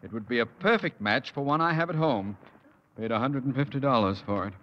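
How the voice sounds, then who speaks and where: An actor playing a pompous, grasping, blustery school principal in a 1950s radio sitcom. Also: male voice, medium pitch, lively intonation, brisk, close to an everyday voice. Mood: pompous, smug. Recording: dull, reverberant vintage radio with hiss.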